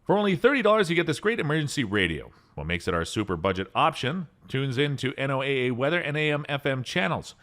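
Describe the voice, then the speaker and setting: A man narrating a scripted voice-over rundown of emergency radios, reading spec lists at a steady pace and lifting his voice on each product name. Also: mid-range voice, fast, hardly any pauses.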